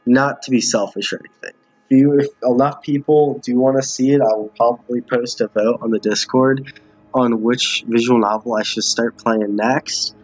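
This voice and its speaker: Husky man streaming games, muffled and roomy. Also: mid-range voice, fairly even intonation, moderate pace, faint music behind. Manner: stumbles while reading, repeats lines. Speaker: streamer playing a visual novel with viewers. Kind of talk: casual game commentary and reading dialogue aloud. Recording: muffled, roomy home recording.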